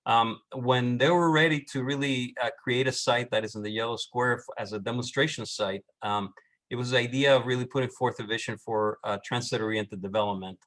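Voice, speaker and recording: A middle-aged man with a Puerto Rican accent, an architect presenting on a panel, halting with many restarts and fillers. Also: mid-range voice, steady tone, a bit bright, low-quality recording. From Puerto Rico.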